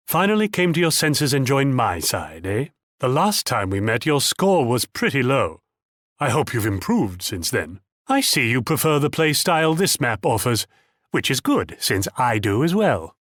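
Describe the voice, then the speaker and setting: A man's voice: medium pitch, a bit bright, no room sound, studio quality. A man voicing a proud, condescending, wealthy Dutch military commander in a video game, with a theatrical and patronizing manner. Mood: smug, then confident, patronizing. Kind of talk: video game character voice lines.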